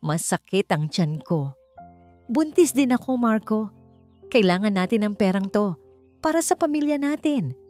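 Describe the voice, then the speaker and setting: A nervous, desperate young woman in a storybook drama, acted by a woman narrator, pleading in a raised pitch over faint music. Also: expressive, unhurried, pauses often, warm tone, close to an everyday voice. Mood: desperate, tearful. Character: anxious pregnant woman accomplice, desperate. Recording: music behind the voice.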